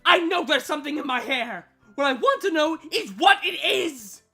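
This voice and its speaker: A woman's voice: high voice, animated, moderate pace, creaky, pushed and bright, heavily acted. A woman playing a furious, menacing young female villain in a dramatized fan fiction reading, with indignant, emphatic outbursts. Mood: seething. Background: background music.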